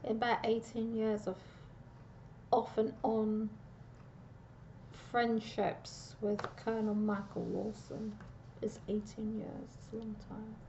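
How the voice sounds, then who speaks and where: A woman giving a casual, meandering psychic reading, pausing and restarting often, on a dull lo-fi recording. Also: a little high, monotone, warm tone, a little hiss or hum. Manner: meandering, with frequent restarts and pauses. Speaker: psychic reader.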